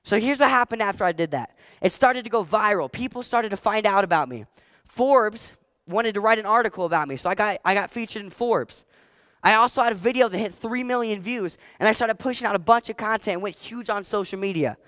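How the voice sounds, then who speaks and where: A fourteen-year-old boy giving a motivational talk on stage, energetic and emphatic, repeating his key phrases. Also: high-pitched, brisk, no echo. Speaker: motivational speaker. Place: stage before a live audience.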